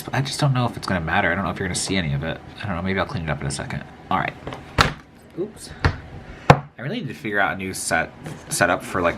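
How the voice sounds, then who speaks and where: Brief: sniffly man, low-pitched, lively and quick, rambling to himself while he builds miniatures, faint room noise. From American Midwest. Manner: chatty, self-talking, rambling asides while working. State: sniffly from a cold. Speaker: miniature crafter. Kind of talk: casual crafting vlog narration.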